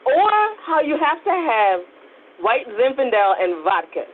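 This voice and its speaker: Female podcast co-host, high-pitched, wry and teasing, casual banter, muffled narrow audio. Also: sing-song, unhurried, a little hiss or hum.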